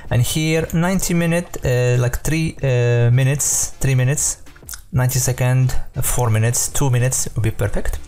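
Mellow-voiced man explaining software casually. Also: medium pitch, fairly even intonation, moderate pace, hardly any pauses. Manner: loose and informal, many fillers and self-corrections. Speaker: software tutorial presenter. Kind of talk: casual screen-recorded tutorial.

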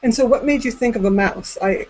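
A woman's voice: on the high side, a little fast, a little mellow, slightly rough. A woman in her forties asking casual interview questions over a video call from a room indoors. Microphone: computer mic on a video call. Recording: faint background noise on video call.

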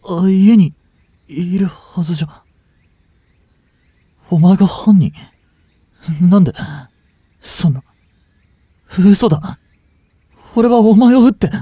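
An actor playing an anguished, devoted young police officer boyfriend in an audio drama, with breathy, halting, emotional delivery. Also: male voice, high voice, warm tone, no echo. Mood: shocked, panicked. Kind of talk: dramatic Japanese situation voice acting.

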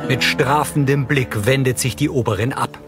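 A man's voice: mid-range voice, moderate pace, over loud music. A man reading scripted audio description of a TV drama as narrator. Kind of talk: scripted narration, present tense.

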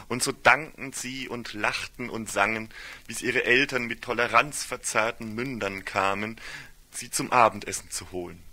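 Very bright-voiced man narrating a story. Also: on the low side. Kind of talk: scripted literary radio-play narration.

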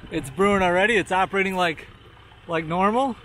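Halting, matter-of-fact man, slightly high voice. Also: a little hiss or hum. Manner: hesitant, many uhs and restarts, calm matter-of-fact. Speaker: vlogger testing gear. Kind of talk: casual hands-on demo talk. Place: outdoors by a lake, later inside a vehicle.

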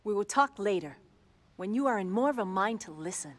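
A woman's voice: medium pitch, animated, a little fast, close to an everyday voice. A loyal female guardian acted by a woman for a fighting game. She sounds stern and dutiful in a mid-range voice, with formal, earnest, restrained phrasing. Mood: admonishing. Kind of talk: video game cutscene acting.

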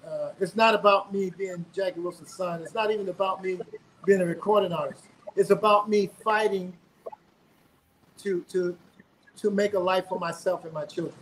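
A man's voice: high-pitched, steady tone, normal speed, slight background noise, poor audio. A middle-aged man from South Carolina, speaking earnestly as an interview guest on a video call from home, often repeating words as he gathers his thoughts. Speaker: guest, singer. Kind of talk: casual interview. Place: home room indoors.

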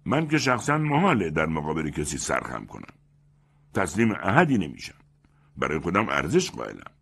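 A man's voice: rumbling low, huge pitch swings, vocal fry, fairly bright tone, breathy edge, exaggerated voice. A man voicing a proud, touchy middle-aged man who talks in a haughty, declaiming way. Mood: self-satisfied.

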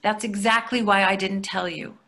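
A woman's portrayal of a long-married older wife in a stage comedy. Her voice is bright and crisp-edged at an average pitch. She is practical, dry and exasperated with her husband. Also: fairly even intonation, normal speed. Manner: matter-of-fact, dry. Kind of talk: readers theatre play reading. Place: plain room indoors, video call.

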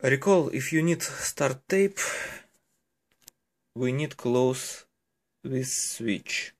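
A man gives step-by-step instructional narration in halting, careful, simple English. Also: medium pitch, animated. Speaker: electronics hobbyist giving a tutorial. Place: indoors at a desk, hands-on demonstration behind the camera.

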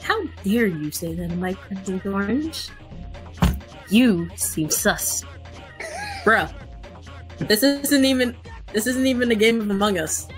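A woman's voice: mid-range voice, expressive, slow, pauses often, over music. A woman voicing an intense, indignant crewmate leader in a dramatic amateur comic dub. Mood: urgent, indignant.

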